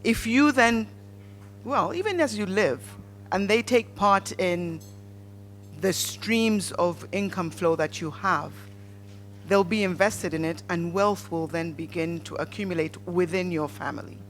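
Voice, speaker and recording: A Ugandan woman speaks on a panel in an unhurried, teaching tone. A faint hum sits under her voice. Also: lowish voice. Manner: measured, instructive. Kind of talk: advice talk at a conference panel.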